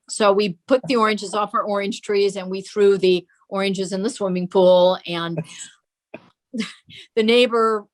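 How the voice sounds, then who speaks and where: An older woman being interviewed, telling anecdotes and sharing family memories. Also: medium pitch. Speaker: interview guest sharing memories of her father. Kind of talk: reminiscing, anecdotal storytelling.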